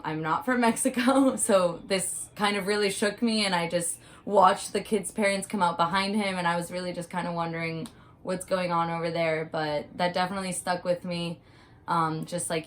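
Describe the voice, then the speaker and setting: A woman's voice: lowish voice, steady tone, moderate pace. A woman who gives campus tours, recounting her experiences in a casual way with frequent fillers. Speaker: campus tour guide. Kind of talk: personal account.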